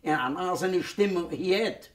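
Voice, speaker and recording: A man, giving oral history testimony in a halting way, with many repeated words and restarts. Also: sits high, fairly bright tone. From Poland. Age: 90s. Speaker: Holocaust survivor giving testimony. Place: dark studio set, indoors.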